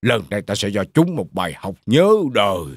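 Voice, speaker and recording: A man's voice: fairly low pitch, sing-song, brisk. A man voicing a scheming, vengeful wolf villain in a cartoon, gloating and taunting with menace.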